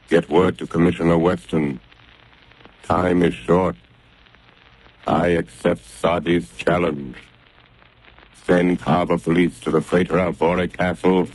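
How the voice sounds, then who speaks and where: An actor playing a calm, determined gentleman crime-fighter who speaks in a measured, steady way in the style of 1930s radio drama. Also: sits low, breathy edge, heavily acted, rough recording. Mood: commanding.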